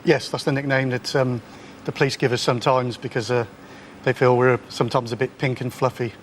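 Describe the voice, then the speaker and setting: A man's voice: mid-range voice, flat delivery, fairly quick, a little hiss or hum. A man in his fifties, captain of a quiz team, chatting in a hesitant way with frequent ums. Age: 50s. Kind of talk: casual chat on a quiz show.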